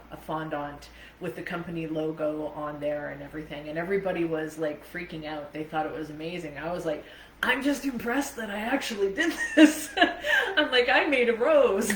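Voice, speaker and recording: Low-voiced Canadian woman, echoey room. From Ontario, Canada. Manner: chatty, laughs readily at herself, digressive. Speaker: host, trauma coach livestreamer. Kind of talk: casual rambling livestream chat. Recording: echoey room with faint background noise.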